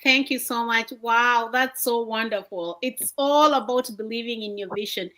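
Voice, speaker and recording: Woman host from Kenya, upbeat livestream presenter, bright and fairly high. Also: moderate pace. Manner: upbeat, welcoming, hands over between guests. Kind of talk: motivational livestream talk. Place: home, indoors at night.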